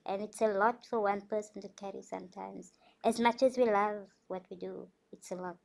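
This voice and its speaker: A woman's voice: mid-range voice, steady tone, normal speed, vocal fry, darkish tone. A woman from South Africa, a dance artist, speaking earnestly on a panel and laughing between thoughts. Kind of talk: panel discussion.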